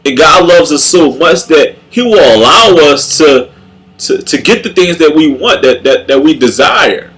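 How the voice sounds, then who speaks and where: Young guy with a blocked-up nasal voice, slow and halting, keeps restarting his sentences. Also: a little high, animated, fairly bright tone, low-quality recording. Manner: halting, many repeated words and restarts. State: congested, nasal. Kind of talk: casual spoken reflection on faith.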